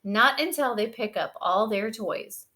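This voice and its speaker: A woman voicing a brisk, orderly mother bear who chides and instructs, in a children's picture-book read-aloud. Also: a little high, pushed and bright. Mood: firm.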